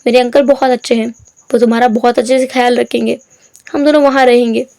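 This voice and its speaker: A woman voicing a caring older brother who speaks softly to calm someone down. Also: fairly high pitch, fast, vocal fry, dry recording. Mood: reassuring.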